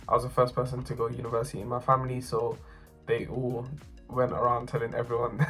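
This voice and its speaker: Young man, student, steady monotone testimony, faint music under it. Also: medium pitch. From North London. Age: young adult. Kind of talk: personal testimony to camera.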